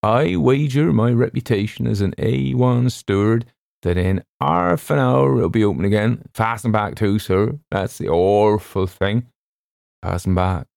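Man playing servile ship's steward, higher swooping pitch, nervy, insistent, close dry sound, storybook character. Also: very animated, unhurried, vocal fry, darkish tone, no echo, full character voice. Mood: triumphant. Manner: faltering, deferential, colloquial.

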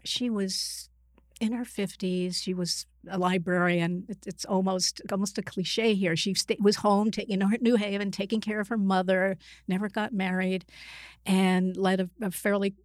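An older woman, a psychiatrist guest speaking conversationally in an interview, halting and reflective, often qualifying herself mid-sentence. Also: medium pitch, little pitch movement, dry recording, clean studio sound. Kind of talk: conversational interview, recounting clinical experience.

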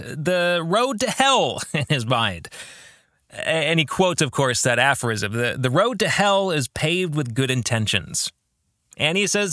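Bright-voiced, slow-paced man, very animated pitch. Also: dry recording, clean studio sound. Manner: earnest, deliberate phrasing with rhetorical questions. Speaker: podcast host and author. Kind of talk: reflective book club podcast monologue.

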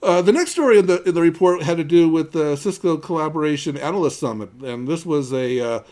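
A man's voice: on the high side, a little mellow. A man hosting a conversational tech news podcast, often stumbling and repeating the starts of his words. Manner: halting, with repeated word starts. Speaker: podcast host.

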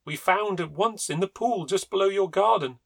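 A man's voice: sits high, moderate pace, vocal fry, pitched above the performer's own voice. A man voicing a chatty upper-class neighbour woman who talks in a breezy, gushing way.

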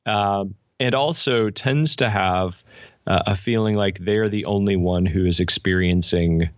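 A man in his forties speaks as a podcast guest in a very low voice. He is casual and reflective, with a self-deprecating, halting way of talking. The recording is dry and a little dull. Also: dry recording. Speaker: podcast guest, pastor and author. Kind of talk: casual reflective interview talk.

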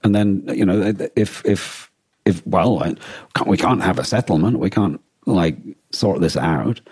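Male podcast co-host, dark husky tone in a dry close recording, reflective and halting. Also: deep voice, no room sound. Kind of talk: reflective conversational discussion.

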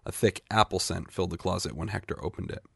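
A man's voice: sits low, fast talker, vocal fry, bright, forceful tone, slightly rough, dry recording, studio quality. A man reading literary short fiction aloud as a scripted narration. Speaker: short story reader.

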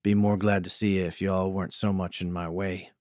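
Western audiobook wagon driver, male reader: deep-set, low-key, wry, unhurried drawl, close dry recording. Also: little pitch movement, no room sound, lightly acted. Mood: wry, unbothered.

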